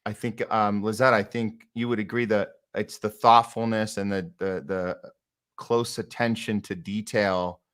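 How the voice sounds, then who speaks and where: A man's voice: on the low side, little pitch movement, measured pace, a bit bright, clean tone. A man hosting a podcast in casual interview chat, speaking in an effusive, appreciative way. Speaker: podcast host.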